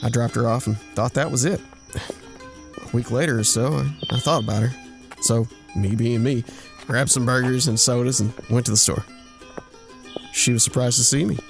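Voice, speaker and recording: A man's voice: medium pitch, fairly even intonation, normal speed, vocal fry, long pauses, over music, close to an everyday voice. An actor playing a bashful, bragging womanizer in an audio drama, telling racy tales in a folksy, stumbling way full of innuendo. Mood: sly, boastful. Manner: folksy, innuendo-laden, stumbling into self-corrections. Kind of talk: audio drama acting, anecdotal storytelling.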